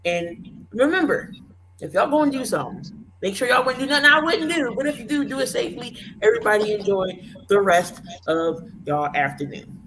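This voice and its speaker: Male show host, hoarse voice, rambling folksy sign-off, noisy recording. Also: very high-pitched, big pitch swings, moderate pace, pushed and bright. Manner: loose, rambling, folksy plugs. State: hoarse, voice worn out. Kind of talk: casual sports talk show sign-off.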